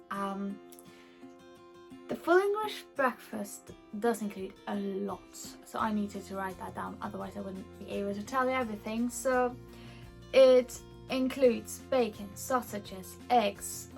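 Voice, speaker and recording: A woman's voice: medium pitch, gravelly, a little mellow, rough recording. A native German-speaking woman with a German accent, chatting casually as she answers questions for a video blog. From Germany or German-speaking Europe. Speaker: video blogger.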